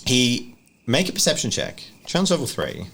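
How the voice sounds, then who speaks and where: A man's voice: medium pitch, very animated, normal speed, creaky, a bit bright, breathy edge. A man working as game master, improvising narration and chatting through a tabletop roleplaying game.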